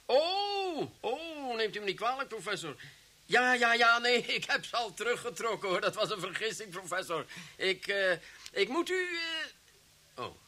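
An actor playing an impatient, blustery police commissioner in an old radio drama. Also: male voice, high-pitched, very animated, moderate pace, sharp tone, heavily acted. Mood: flustered, apologetic.

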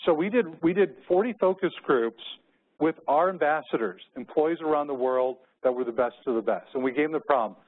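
A man's voice: fairly high pitch, a little fast, breathy edge. A middle-aged American man giving a conference keynote, telling personal anecdotes as a storyteller. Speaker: keynote speaker. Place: conference room with windows. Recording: muffled, phone-like narrow-band audio.

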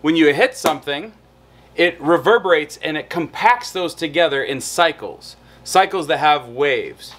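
Animated bearded lecturer man teaching outdoors. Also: fairly high pitch, measured pace. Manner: animated explaining, demonstrates on guitar and whiteboard. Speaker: psychology lecturer. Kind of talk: recorded lecture. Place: outdoors in a backyard patio and garden.

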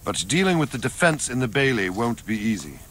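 An actor playing a grizzled older soldier in a video game, blunt, fatherly and resolute, with a measured, commanding delivery. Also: mid-range voice, sing-song, fairly bright tone, slight background noise. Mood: resolute, instructing. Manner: measured, plain-spoken, commanding. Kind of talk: dramatic video game cutscene acting. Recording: music behind the voice.

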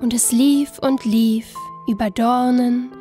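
A woman narrating a scripted fairy tale in an expressive, storybook manner. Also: a little high, faint music behind. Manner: expressive, unhurried storybook telling.

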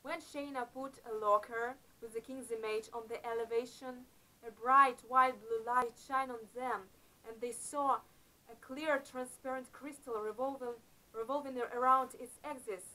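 A middle-aged woman narrating a Bible-themed adventure story to camera in a small room, earnest and a little halting. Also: high voice, fairly even intonation, pushed and bright, low-quality recording. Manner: earnest, slightly halting phrasing with uneven emphasis. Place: small indoor room before a painted backdrop. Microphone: camera close up in front of her.

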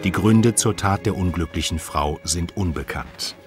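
An actor playing a grave, weary man of 1915, reading documents in a measured, sombre way for a radio documentary. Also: sits low. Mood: sober, formal. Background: faint music.

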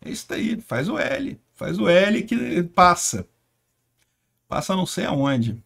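A man's voice: high-pitched, big pitch swings, takes it slowly, vocal fry, a bit bright, slightly rough. A middle-aged man from Brazil hosting casual political commentary, sarcastic and emphatic with scornful asides, talking at a desk in a small room. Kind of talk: casual political commentary over screen share.

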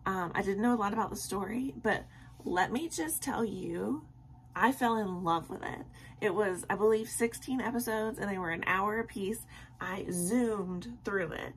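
A young woman with a tired, slightly scratchy voice talks casually to her viewers at bedtime, filling pauses with ums. She sits in a small bedroom close to the camera. A faint hiss of room noise sits under her voice. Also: mid-range voice. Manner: rambling and friendly, with many ums and soft laughs. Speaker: vlogger. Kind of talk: casual late-night chat to camera.

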